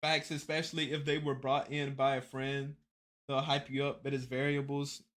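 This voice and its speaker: A man's voice: mid-range voice, little pitch movement, normal speed, a bit bright. A young man giving casual reaction commentary as a streamer, rambling, restarting his words and swearing offhandedly.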